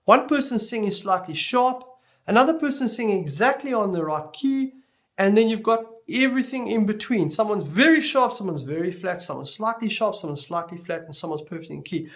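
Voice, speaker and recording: A man giving an explanatory tutorial lecture as an instructor, speaking deliberately and stressing key words as he goes. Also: sits high, lively intonation. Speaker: music production instructor.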